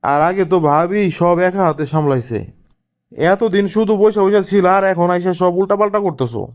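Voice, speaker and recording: A man's voice: sits high, animated, brisk. A man voicing an exasperated young husband in a cartoon family comedy, scolding and questioning in a Bangladeshi Bengali accent. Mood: scolding, fed up.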